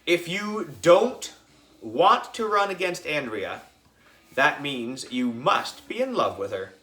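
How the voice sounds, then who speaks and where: A man's voice: fairly high pitch, big pitch swings, takes it slowly, pushed and bright, pitched above the performer's own voice, exaggerated voice. A man voicing a pushy, teasing schoolboy in a children's storybook, goading and taunting. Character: teasing, pushy third-grade schoolboy pal.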